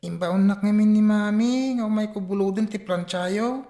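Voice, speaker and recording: A woman's voice: medium pitch, fairly even intonation, normal speed, dark tone, echoey room, lightly acted. A woman with a Filipino accent playing a reluctant, embarrassed child in a comedy radio drama, with a whiny manner. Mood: polite.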